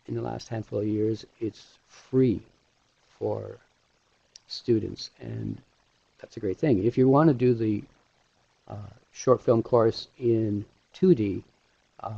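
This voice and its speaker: A middle-aged man giving an informal online presentation as an instructor, speaking haltingly with pauses mid-sentence through a headset mic. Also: lowish voice, creaky, darkish tone, husky, no echo. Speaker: animation instructor hosting a webinar. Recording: dull, lo-fi webinar audio.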